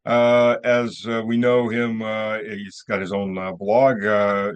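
A man's voice: fairly low pitch, little pitch movement, slow, clean tone, lo-fi sound. A man hosting a casual interview show over a video call, joking and rambling with lots of fillers. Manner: jokey, rambling with many fillers. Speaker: show host.

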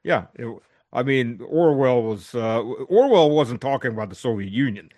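A man's voice: medium pitch, lively intonation, moderate pace, darkish tone. A man hosting a casual conversation, chatty, laughing easily and stumbling over his words. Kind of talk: casual chat.

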